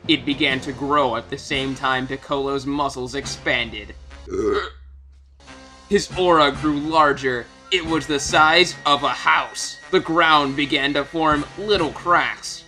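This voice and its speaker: A man narrating a mock-dramatic reading of fan fiction in a deliberate manner, taking awkward text as written. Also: fairly high pitch, sharp tone.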